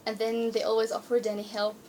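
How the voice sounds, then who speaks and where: A woman's voice: on the high side, monotone, fast, warm tone, slight background noise. A sixteen-year-old female author from Jordan, native in Arabic and Spanish, giving simple, hesitant answers in a casual interview. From born in Spain, raised in Jordan from age seven. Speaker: young author, interview guest. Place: radio studio.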